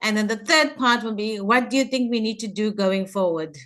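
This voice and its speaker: A woman from Africa, from banking, asking a panelist a chatty, deliberate question and laughing easily. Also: fairly high pitch, a bit bright. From South Africa.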